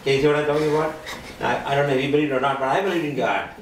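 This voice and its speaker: An older man giving an earnest testimony sermon with a loud voice, swinging between hushed and forceful delivery. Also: mid-range voice, steady tone, rapid-fire, slight background noise. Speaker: lay preacher giving testimony. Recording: roomy and distant with faint ambience.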